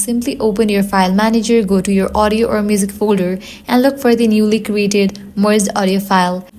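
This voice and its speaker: A woman's voice: medium pitch, darkish tone. A woman presenting a scripted tutorial, giving instructions step by step in narration.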